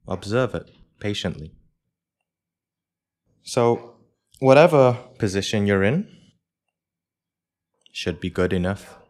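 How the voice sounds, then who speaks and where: A man leading a live guided meditation for a group as its teacher, speaking in measured short phrases with pauses between them. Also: low voice.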